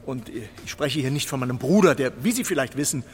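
An actor playing a solemn, pompous prosecutor giving an oration in a radio drama. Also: male voice, medium pitch, expressive, fairly quick, slight background noise, lightly acted. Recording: reverberant hall with faint ambience.

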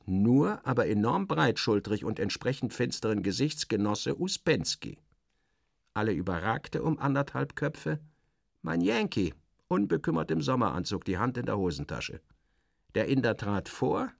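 A man's voice: fairly low pitch, gravelly, no room sound, studio quality, full character voice. An actor playing a sardonic, self-assured journalist, narrating his travels in a literary radio drama with wry, theatrical, clipped phrasing. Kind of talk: literary first-person narration, dramatic audio reading.

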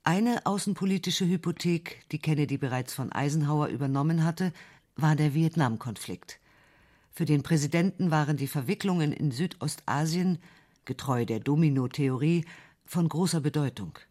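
A man with a somewhat high voice reads documentary narration. His reading is clear and composed, at an ordinary pace. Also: moderate pace, a little mellow.

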